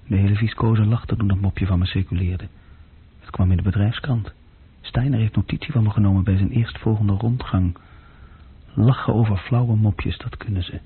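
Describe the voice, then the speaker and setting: Young sardonic group leader, radio drama monologue, husky, dry, clipped, self-critical, muffled narrow-band old recording. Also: male voice, fairly low pitch. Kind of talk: radio play inner monologue.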